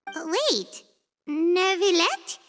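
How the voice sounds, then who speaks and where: A woman's voice: very high voice, big pitch swings, extremely slow, fairly bright tone, soft background music, full character voice. A woman voicing a tiny, chatty female companion who talks about herself in the third person and stammers when flustered. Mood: curious. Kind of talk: video game cutscene dub. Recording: long reverb.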